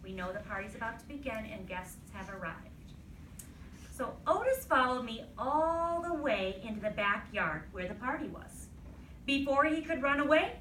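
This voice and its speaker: A woman with a bright, fairly high voice teaches through a read-aloud, speaking in a deliberate, instructive way. She checks in with her listeners as she goes. The recording is roomy and distant, with faint noise. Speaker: elementary school teacher.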